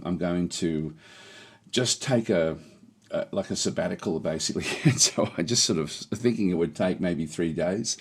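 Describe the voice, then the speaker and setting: A middle-aged man streaming a game, chatting casually with a slightly hoarse voice that is on the mend, rambling with many restarts. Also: sits low, darkish tone. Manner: rambling, halting, lots of restarts and fillers. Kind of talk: casual live-stream chat.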